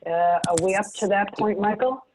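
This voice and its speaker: A man on a video call who speaks hesitantly, pausing and restarting as he asks about the vote. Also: high-pitched, a little mellow, a little hiss or hum. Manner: hesitant, halting. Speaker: board member on a meeting call. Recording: tinny phone-like call audio.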